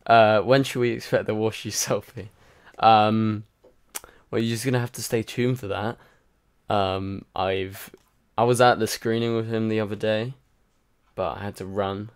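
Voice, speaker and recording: A man's voice: lowish voice, steady tone, measured pace, smooth. A tired man hosting a casual livestream, rambling and chatty with lots of fillers while answering viewer comments. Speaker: livestream host. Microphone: home microphone.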